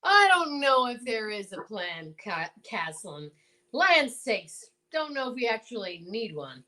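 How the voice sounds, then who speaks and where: A woman playing a stubborn, folksy small-town male marshal in an old-time radio drama, blustering and halting. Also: fairly high pitch, sing-song, sharp tone, exaggerated voice. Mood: folksy resolve.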